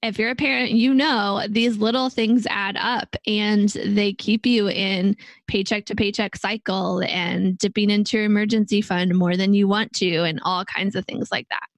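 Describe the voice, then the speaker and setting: A woman's voice: medium pitch, steady tone, normal speed, hardly any pauses, no echo. A woman co-hosting a personal finance show, walking through a worked example step by step in casual chat. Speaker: podcast co-host.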